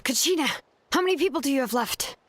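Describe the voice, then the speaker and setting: A woman's voice: sits high, lively intonation, fast talker, a bit bright. An actress playing a spirited young female warrior in a video game, bold and rallying. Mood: urgent, reassuring. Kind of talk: scripted video game battle dialogue.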